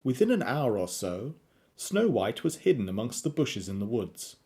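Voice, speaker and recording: Man acting a mellow, animated adventure narrator. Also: mid-range voice, moderate pace. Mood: wry. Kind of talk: scripted audio drama narration.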